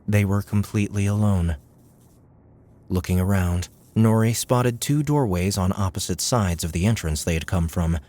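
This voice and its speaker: Deep-voiced man narrating a science fiction audiobook. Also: normal speed, a little mellow.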